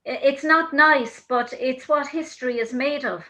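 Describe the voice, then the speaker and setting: A woman's voice: sits high, pushed and bright, muffled recording. A woman with an Irish accent hosting an interview over a video call, speaking thoughtfully and often restarting her sentences. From Ireland. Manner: thoughtful, halting, many restarts. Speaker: host.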